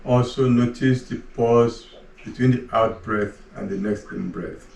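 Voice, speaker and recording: A man leading a guided relaxation, giving slow, soft, calm cues with long pauses between them. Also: medium pitch, vocal fry. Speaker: meditation guide. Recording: roomy echo, voice far from the mic, faint ambient hum.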